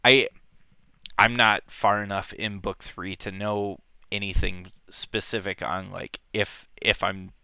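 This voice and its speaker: Man, bright crisp voice, dry close sound, casual book talk, thinking aloud. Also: fairly low pitch, little pitch movement, slow, no room sound. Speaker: book club podcast host.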